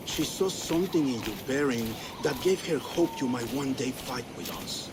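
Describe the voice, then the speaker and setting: Brief: a man voicing a stern game mentor, lively intonation, loud ambient noise around him. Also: medium pitch, natural voice. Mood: grave. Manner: measured, grave, commanding. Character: calm, wise older male assassin mentor. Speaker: mentor of an order of assassins.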